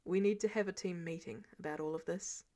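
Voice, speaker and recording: A woman voicing an earnest, weary male hero and team leader in a measured, subdued, sincere way for an audio story. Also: lowish voice, a little mellow, voice pushed down, lightly acted. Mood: serious.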